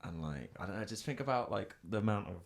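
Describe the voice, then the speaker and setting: A man's voice: lowish voice, lively intonation, fast, vocal fry. A young British man chatting casually on a podcast, rambling with lots of fillers. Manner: rambling, many fillers and restarts. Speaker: podcast co-host. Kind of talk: casual chat.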